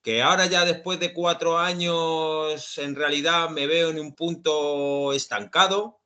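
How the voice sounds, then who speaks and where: A man's voice: on the high side, steady tone, unhurried, sharp tone. A man talking as a podcast guest, hesitant and full of fillers as he explains his views. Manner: hesitant, many fillers and restarts. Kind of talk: casual podcast conversation.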